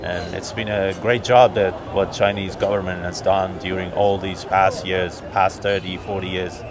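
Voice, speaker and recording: A man from Iran answering a street interview, thoughtful and hesitant, pausing often with fillers. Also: low-pitched, little pitch movement. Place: busy city street outdoors. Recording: busy street crowd behind.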